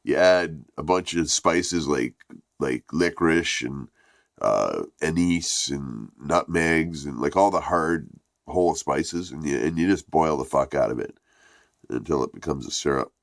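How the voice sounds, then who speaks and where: Reviewer: man with a very deep, gravelly, congested voice; loose, profane, rambling delivery, close and dry. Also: lively intonation, unhurried, no room sound. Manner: profane, rambling, laid-back. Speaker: product reviewer.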